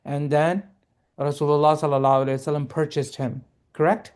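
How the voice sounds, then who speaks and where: A man teaching a reading lesson, explaining slowly and patiently and checking often that his students follow. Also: fairly high pitch, little pitch movement, darkish tone, smooth. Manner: patient, explaining, checks understanding with "right?" and "okay?". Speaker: Arabic teacher.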